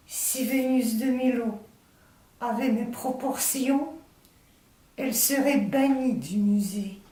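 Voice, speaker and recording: A woman from Russia reciting her own poem in a deliberate, declamatory way, in short phrases with pauses. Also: a little high, mellow. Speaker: poet reading own work. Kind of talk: poetry recitation. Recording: very echoey room, distant mic, faint noise.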